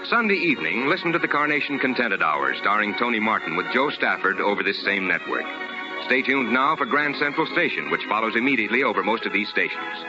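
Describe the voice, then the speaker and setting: A man working as a polished, formal radio announcer and host, delivering 1950s sponsor pitches like a salesman. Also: big pitch swings, fairly quick, over music. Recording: dull, noisy vintage radio recording.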